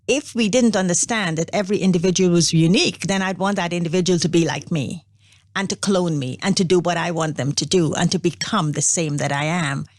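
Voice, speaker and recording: An older woman from Guyana, a spiritual organization's representative, telling her story in a measured, unhurried interview. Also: lowish voice, no room sound, studio quality. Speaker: interview guest, Brahma Kumaris representative. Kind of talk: reflective interview talk.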